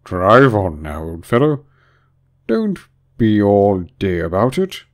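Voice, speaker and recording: A man voicing a gruff, scornful griffin from a storybook, bossy and emphatic in a scolding way. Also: lowish voice, big pitch swings, takes it slowly. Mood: impatient. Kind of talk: storybook character reading.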